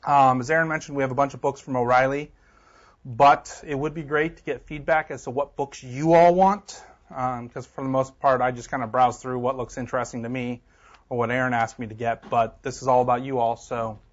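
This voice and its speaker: A man's voice: medium pitch, brisk. A man organizing a tech meetup, casually reading out upcoming events with many fillers. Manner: relaxed, filler-heavy. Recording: dull lo-fi audio.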